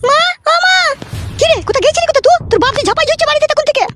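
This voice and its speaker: An exasperated village mother from a comedy cartoon. Her pitched-up voice is extremely high and squeaky, and she answers back at a rapid rate. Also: fairly bright tone, faint music behind. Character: defensive middle-aged village mother, exasperated. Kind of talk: comic cartoon dialogue.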